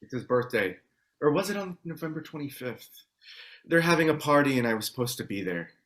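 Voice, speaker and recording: A man's voice: on the high side, sing-song, fairly quick, fairly bright tone. A man playing an anxious, protective husband and father in a table read. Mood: conversational.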